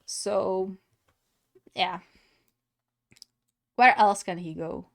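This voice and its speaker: A woman's voice: mid-range voice, fairly even intonation, drawn-out words, creaky. A woman giving casual reaction commentary as host of a gaming video, thinking aloud hesitantly.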